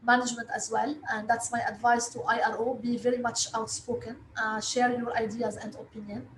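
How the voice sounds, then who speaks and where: High-voiced Lebanese woman in an echoey room. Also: level tone, fairly bright tone. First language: Arabic. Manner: halting, hesitant delivery with frequent long filled pauses. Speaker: interview guest, investor relations director. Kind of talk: interview answers. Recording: echoey room with faint background noise.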